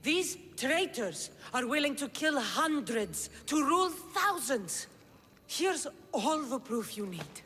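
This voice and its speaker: A woman's voice: sits high, very animated, slow pace, a bit bright, in a large hall, full character voice. An actress playing a bold, resolute Spartan warrior woman in a video game. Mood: accusing, defiant. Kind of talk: video game dramatic acting.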